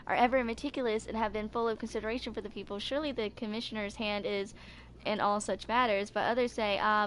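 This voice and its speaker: A woman in her twenties with a slightly high voice reads aloud in a casual way, dropping in offhand comments. The amateur recording carries some background noise. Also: fairly bright tone. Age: 20s. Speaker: video creator reading game character stories. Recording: noisy amateur home recording.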